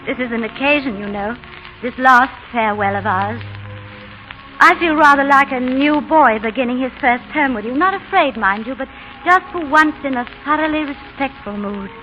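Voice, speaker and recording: An actress playing a spirited young Englishwoman in love, tender and gently teasing, in a 1930s radio drama. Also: sits high, moderate pace, close to an everyday voice. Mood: playful, tender. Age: young adult. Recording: muffled 1930s radio broadcast, faint music.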